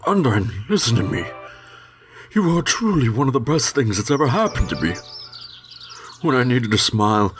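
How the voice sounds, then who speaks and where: A man playing a gentle goat-monster king in a dramatic reading, fatherly and grieving, pleading with trembling emotion. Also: medium pitch, close to an everyday voice. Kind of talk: dramatic fan-fiction reading.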